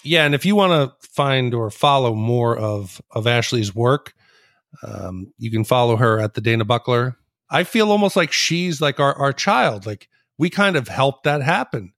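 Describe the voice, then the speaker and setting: Guy on a podcast, normal pitch, casual review chat, no room sound. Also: animated, normal speed, fairly bright tone, dry recording, studio quality. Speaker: podcast host.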